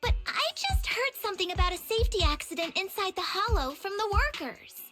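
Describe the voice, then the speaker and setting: An actress playing a brash, excitable young female disciple in a video game, speaking bluntly. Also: very high voice, brisk, music in the background. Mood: indignant, suspicious. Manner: blunt, accusatory.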